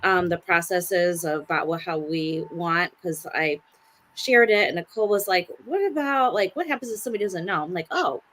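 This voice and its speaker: A woman's voice: on the low side, normal speed, fairly bright tone. A woman on a casual group chat, steadily explaining plans and quoting the back-and-forth with others. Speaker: panelist on a sisters' chat show.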